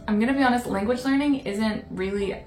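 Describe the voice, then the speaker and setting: A woman's voice: on the high side, brisk, a little mellow. A woman speaking casually in a vlog, chatty and self-deprecating. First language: English. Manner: chatty, self-deprecating, laughs now and then. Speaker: vlogger. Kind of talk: casual talking-head vlog. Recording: roomy echo. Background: faint background music.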